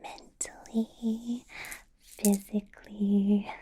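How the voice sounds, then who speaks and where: A woman playing a possessive, menacing female vampire boss in an ASMR roleplay, speaking in a slow breathy whisper with soft teasing laughs. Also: mid-range voice, level tone, exaggerated voice.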